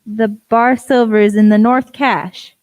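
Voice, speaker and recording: A woman playing a composed, inquisitive gentleman doctor in a radio play, speaking politely and formally. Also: on the high side, fairly even intonation, measured pace, lo-fi sound, close to an everyday voice. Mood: measured, intrigued. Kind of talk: youth radio play acting.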